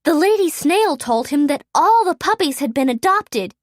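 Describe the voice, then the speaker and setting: A woman narrating a children's read-aloud story expressively, phrasing it clearly for young listeners. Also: high voice, big pitch swings, moderate pace, dry recording, studio quality.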